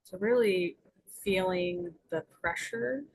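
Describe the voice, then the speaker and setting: A woman leading a guided meditation as its guide, speaking in gentle, soothing invitations with long pauses. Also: mid-range voice, slight background noise, low-quality recording.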